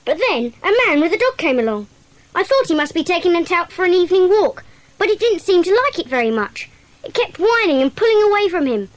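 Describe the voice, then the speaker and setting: A woman's voice: extremely high pitch, big pitch swings, a little fast, no echo. A woman playing an eager, earnest schoolboy sleuth who gives detailed reports in a children's audio drama. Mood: earnest, puzzled.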